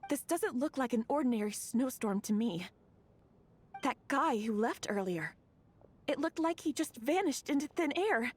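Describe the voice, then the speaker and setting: An actress playing an excitable, jittery young woman in a video game, with faint noise behind her. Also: high-pitched, normal speed, husky. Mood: uneasy.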